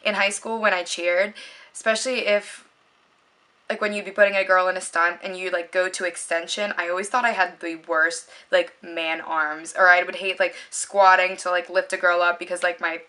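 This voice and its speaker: A young woman chatting casually to the camera in a home vlog, rambling with lots of likes and ums in a low voice. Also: little pitch movement, normal speed, bright, forceful tone. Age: young adult. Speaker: beauty vlogger.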